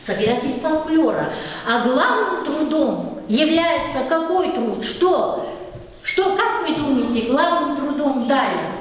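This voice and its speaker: A middle-aged woman teaches a class in a high, bright voice. She keeps asking the pupils questions and praising their answers. The sound rings around the room and reaches a distant camera mic. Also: animated, a little slow. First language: Lezgian. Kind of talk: classroom lesson. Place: echoey classroom. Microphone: far camera. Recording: echoey room picked up from far off.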